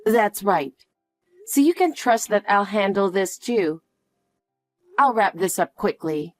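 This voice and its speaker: A woman as a calm, soothing mother. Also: medium pitch, no echo, studio quality, soft background music. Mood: reassuring. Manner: soft, slow, reassuring. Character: calm protective mother soothing her daughter. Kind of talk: dramatic dialogue.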